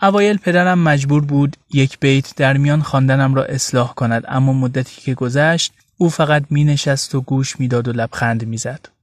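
A man's voice: mid-range voice, steady tone, no room sound. Man narrating, dry close sound. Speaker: radio narrator. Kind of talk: scripted first-person story narration.

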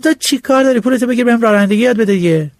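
A man's voice: extremely high pitch, pitched above the performer's own voice. A man voicing a desperate young village man who pleads and stammers while begging for a job. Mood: pushy.

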